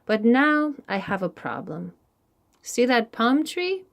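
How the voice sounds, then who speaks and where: A woman voicing a humble, kind young man in a storybook, earnest, polite and expressive. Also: a little high, takes it slowly, vocal fry, full character voice. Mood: polite, troubled.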